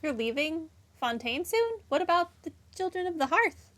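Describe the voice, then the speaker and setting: A woman's voice: very high-pitched, sing-song, normal speed, fairly bright tone. A woman hosting a gaming playthrough with casual commentary, reacting and reading along. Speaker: gaming video host. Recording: faint game music behind.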